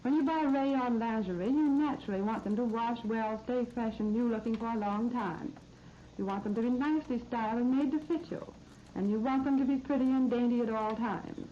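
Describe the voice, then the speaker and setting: A 1930s American woman reads a scripted shopping feature for radio. Her voice sits fairly high and her delivery is polished and promotional. The old recording is muffled, with faint noise underneath. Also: fairly quick. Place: radio studio. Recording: muffled, noisy 1939 radio disc recording.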